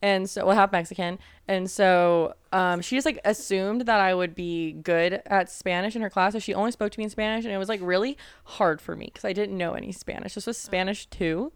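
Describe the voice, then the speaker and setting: A young woman co-hosting a podcast, telling stories in animated casual chat and often laughing. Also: medium pitch, a little fast, hardly any pauses, clean tone, no echo, clean studio sound. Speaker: podcast co-host.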